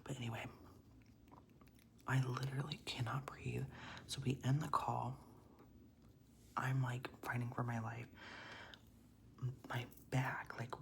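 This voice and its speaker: A man's voice: medium pitch, flat delivery, slow pace, vocal fry, long pauses, a bit bright, a little hiss or hum. A young transgender man whispering a casual, rambling storytime while doing makeup, with lots of "like"s and casual swearing. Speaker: vlogger.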